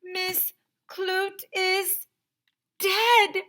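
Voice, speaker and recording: A woman voicing a distraught female school secretary in a storybook, shrill and dramatic. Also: flat delivery, very slow, sharp tone, heavily acted. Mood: horrified. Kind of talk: storybook read-aloud character voice.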